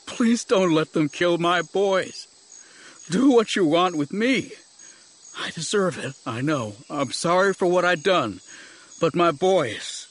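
A humble rustic father, put on by a male narrator in an audiobook. He sobs and grovels in a high, husky voice, desperate for mercy. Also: lively intonation, slow, heavily acted. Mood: sobbing, pleading. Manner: pleading, grovelling, sobbing.

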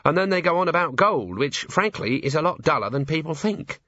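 A man's voice: on the high side, expressive, a little fast, sharp tone, no echo, muffled recording, pitched above the performer's own voice. A man voicing a timid, earnest young female dwarf officer in an audiobook, eager and deferential. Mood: shy, curious.

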